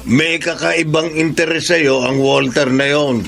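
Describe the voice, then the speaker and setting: A man playing a nosy, scheming middle-aged father in a Filipino radio drama, gravelly, blunt and probing. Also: a little high, fairly even intonation, takes it slowly, fairly bright tone, slight background noise, full character voice. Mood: sly, urging. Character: nosy, scheming father pushing his daughter to romance.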